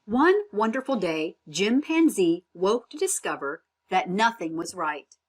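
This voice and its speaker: A woman giving instructional narration and reading a picture book for children, expressive and deliberate, with prompting questions. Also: mid-range voice. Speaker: read-aloud instructor.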